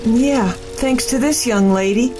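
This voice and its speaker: Woman playing a high-voiced, shaken fire victim. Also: takes it slowly. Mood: shaken, grateful. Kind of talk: audio drama acting. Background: faint background music.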